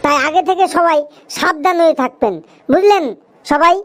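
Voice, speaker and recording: Chatty young cartoon pal, extremely high-pitched, eastern Bengali dialect, earnest, scolding, colloquial. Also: normal speed, a bit bright, slight background noise. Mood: earnest, preachy. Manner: preachy, emphatic, colloquial. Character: talkative food-loving young cartoon friend, earnest and scolding. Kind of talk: cartoon dialogue with moral advice.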